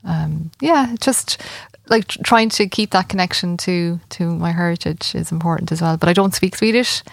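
A woman in her late forties with an Irish accent, a festival organiser talking as a guest in a casual interview, with many pauses and fillers. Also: on the low side, gravelly, no room sound. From Ireland. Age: 40s. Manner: hesitant, many fillers and restarts. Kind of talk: conversational radio interview.

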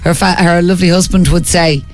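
A middle-aged Irish woman co-hosts a morning radio show with easy, playful banter. She teases her partner and mimics the people she talks about. Soft music sits faintly under her in the studio. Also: lowish voice, fairly even intonation, brisk. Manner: playful, teasing, mimics others. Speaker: radio co-host. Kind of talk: casual breakfast radio chat.

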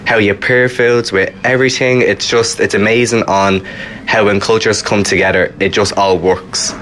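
A young man with a Dublin accent answers a reporter's questions about his work. He speaks earnestly and with enthusiasm, in a slightly low voice. Faint noise sits in the background. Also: fairly even intonation, moderate pace, a bit bright. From Ireland. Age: 20s. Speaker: shop worker. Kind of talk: interview answers.

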